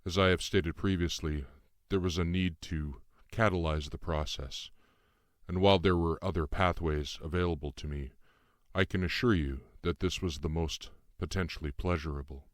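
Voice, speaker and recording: A man voicing a calm, calculating otherworldly being in a formal, precise, didactic way for an audiobook. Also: low voice, normal speed, no room sound, clean studio sound, lightly acted. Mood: detached, explanatory. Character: Lucien, calm calculating otherworldly being in young man's form. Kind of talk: audiobook dialogue.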